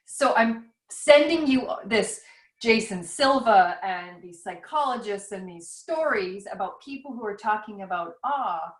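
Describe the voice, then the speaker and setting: A woman speaks over a video call in a middle pitch, the sound bouncing around a roomy space. She thinks out loud as she talks, circling back and questioning herself. Her reflective ramble feels unscripted. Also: lively intonation, measured pace. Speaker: author. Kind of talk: casual conversation over a call. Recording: roomy echo.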